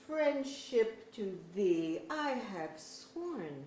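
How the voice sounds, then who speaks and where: A woman playing a friendly, devoted elf ambassador in an archaic verse drama, expressive in delivery. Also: mid-range voice, very slow. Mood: earnest appeal. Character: friendly, doting elf ambassador befriending a captive. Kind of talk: staged reading of pseudo-Elizabethan verse drama. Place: museum auditorium, live reading. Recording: echoey auditorium with background hiss.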